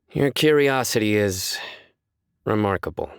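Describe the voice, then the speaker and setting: A man voicing a stern, principled young judge in a video game, reserved, with measured, formal delivery. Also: on the low side, vocal fry, clean tone, no echo, clean studio sound, exaggerated voice. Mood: guarded, curious. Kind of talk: scripted video game character voice lines.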